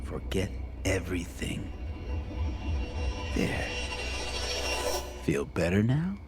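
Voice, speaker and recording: An actor playing a mocking young alien gang leader who talks in beatnik slang in a radio drama. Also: male voice, low-pitched, big pitch swings, drawn-out words, heavily acted. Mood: cold, hypnotic. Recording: music behind the voice.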